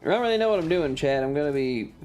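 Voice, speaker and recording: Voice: medium pitch, lively intonation, quick pace, darkish tone, faint music behind. A streamer giving casual live commentary on a video game in an irreverent, sweary, rambling way.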